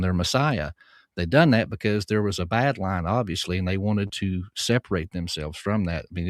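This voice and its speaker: A man's voice: low-pitched, dry recording, studio quality. A man with a Southern American accent, a guest author explaining at length in a folksy, earnest way on a livestream. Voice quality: soothing. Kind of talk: livestream conversation.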